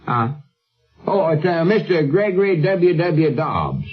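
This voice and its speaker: An actor playing an earnest, cautious backwoods storekeeper with a rural Southern US accent in 1940s radio comedy, folksy and deliberate. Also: male voice, on the high side, lively intonation, husky, soft background music. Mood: hesitant, inquiring. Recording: muffled old radio broadcast recording.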